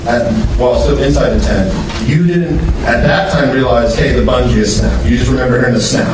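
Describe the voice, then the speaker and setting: A man cross-examines in a steady mid-pitched voice, pressing with pointed questions. He sounds far from the mic in a very echoey courtroom, with noise underneath. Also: fairly quick. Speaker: attorney.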